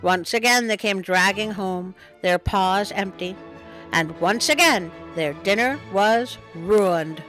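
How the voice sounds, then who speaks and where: A woman reading a children's story aloud in a playful, expressive way, with chatty asides. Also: mid-range voice, takes it slowly, faint music behind. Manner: playful, expressive, self-interrupting. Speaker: storytime host and reader.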